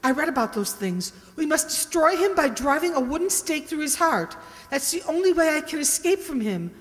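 Lady doing scared haunted wife in an old radio mystery, really high voice, big hall echo. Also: big pitch swings. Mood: desperate, pleading. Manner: halting, dramatic, fretful delivery. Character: Diana, frightened haunted wife turning undead. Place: stage in a community hall, stand microphone. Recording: echoey hall, music underneath.

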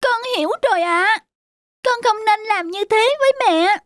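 Small emotional boy, high voice, animated swings, stubborn childlike pleading, cartoon. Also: moderate pace. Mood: sorry. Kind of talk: cartoon voice acting.

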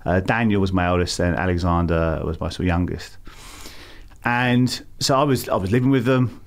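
A man's voice: deep voice, moderate pace, creaky. A middle-aged man with a London accent, answering interview questions with many fillers and restarts. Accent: London English. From England. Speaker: campaign founder, guest.

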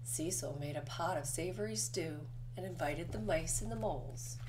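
A woman reading a children's picture book aloud as narration, with expressive storytelling delivery. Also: on the low side, moderate pace, noisy background. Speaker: storybook reader.

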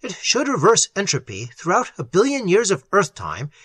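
An urgent male scientist from a pulp horror story, acted by a man reader, commanding and emphatic. The voice is raised and sharp, swinging widely as he explains and barks orders. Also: high-pitched, wildly swooping pitch, moderate pace, slightly rough, dry recording, voice pushed up, heavily acted. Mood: grave, insistent. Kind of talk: dramatized horror story reading.

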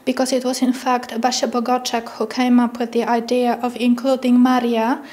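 A woman gives interview comments in a fairly high voice with little variation in pitch. Her phrasing is hesitant and searching. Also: completely flat delivery, normal speed, a little mellow. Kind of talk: interview, crediting collaborators.

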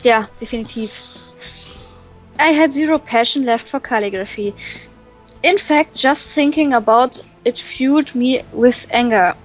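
A woman reading a visual novel aloud and translating it on the fly, halting and full of fillers. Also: fairly high pitch, faint music behind, low-quality recording. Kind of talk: casual let's-play reading.

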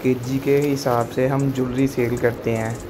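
Brief: man selling wholesale stock, monotone pitch, phone-camera sound, heavy music bed. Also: normal speed. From Pakistan. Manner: plain, repetitive sales pitch. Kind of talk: product showcase. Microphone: handheld phone camera.

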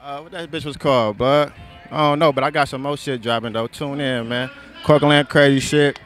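A man's voice: medium pitch, fairly even intonation, normal speed, fairly bright tone, faint music behind. A man, a rapper guest speaking laid-back and slangy into a handheld mic outdoors. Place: outdoor festival.

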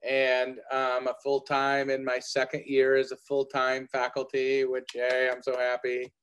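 A man's voice: medium pitch, a bit bright, smooth, poor audio. A middle-aged man, a culinary arts professor, introducing himself eagerly with many fillers on a video call from his living room. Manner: enthusiastic, filler-heavy. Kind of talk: panel self-introduction. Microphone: webcam on video call.

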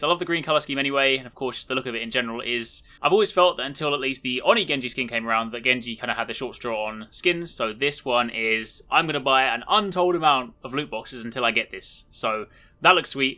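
A man's voice: medium pitch, bright, forceful tone. A man giving an upbeat, casual and enthusiastic video walkthrough as a gaming host.

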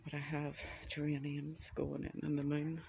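A man with a Northern Irish accent chats gently and casually as he narrates an unscripted garden tour on a handheld phone outdoors. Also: a little high, completely flat delivery, moderate pace, vocal fry, slight background noise. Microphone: handheld phone camera.

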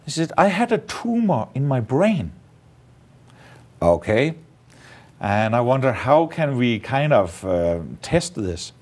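A man's voice: medium pitch, big pitch swings, darkish tone. A man from Denmark with a Danish accent, telling stories as a guest in a studio interview, with many fillers. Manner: halting, many fillers, non-native grammar. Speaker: evangelist guest. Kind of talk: interview testimony. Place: studio set, indoors. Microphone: lapel mic.

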